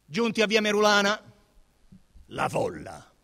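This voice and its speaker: A man narrating a literary text theatrically, with solemn, deliberate, dramatic phrasing. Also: high voice, wildly swooping pitch, gravelly, pushed and bright. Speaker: narrator and actor.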